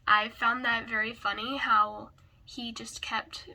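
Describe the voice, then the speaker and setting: A woman who is hoarse and raspy from a cold gives a casual book review, often hesitantly searching for words. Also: on the high side, flat delivery, slow pace, sharp tone.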